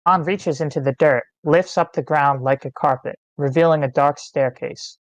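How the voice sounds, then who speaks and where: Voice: medium pitch, moderate pace, dry recording, muffled recording. Stage-direction narrator: terse dramatic phrasing, scripted table read, close dry sound. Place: online meeting, close dry mic.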